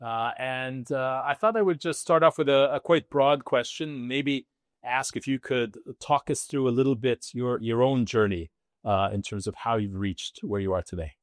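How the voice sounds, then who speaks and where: A man hosting a live discussion session in a halting, semi-prepared way. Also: mid-range voice, dry recording, clean studio sound. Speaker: host, business school dean.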